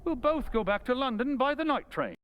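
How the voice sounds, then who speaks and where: A man playing a confident, clever gentleman detective with wry playfulness, brisk and theatrical, in an old-time radio drama. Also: very high-pitched, big pitch swings, muffled recording. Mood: assured, explaining.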